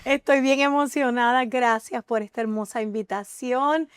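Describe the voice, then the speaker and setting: Earnest woman sharing her testimony, somewhat high. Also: normal speed, smooth, no room sound. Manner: emotional, earnest, hesitant with many fillers. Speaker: guest. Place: TV studio.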